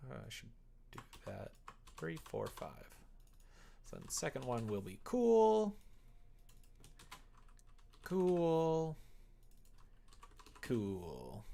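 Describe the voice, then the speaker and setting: A man's voice: fairly low pitch, gravelly. A man streaming casually as he codes, thinking aloud and muttering to himself in a wry way. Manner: wry, muttering to self while working. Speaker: live streamer developing a visual novel. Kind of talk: casual coding livestream chat, thinking aloud.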